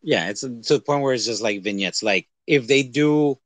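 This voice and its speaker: A man talks as a podcast co-host in a dry, close recording. He rambles through casual movie chat with animated, exaggerated reactions. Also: medium pitch, moderate pace, no echo.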